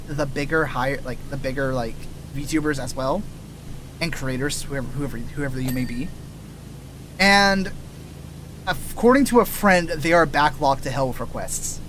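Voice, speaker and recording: A man with a fairly high, slightly raspy voice rambles casually during a game stream, trailing off and restarting his sentences. His voice sounds worn from recent voice work. A faint hiss sits under the recording. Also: a bit bright, poor audio. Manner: chatty, loose, trailing restarts and asides. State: tired voice from lots of voice work. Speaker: VTuber streamer. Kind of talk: casual rambling while gaming.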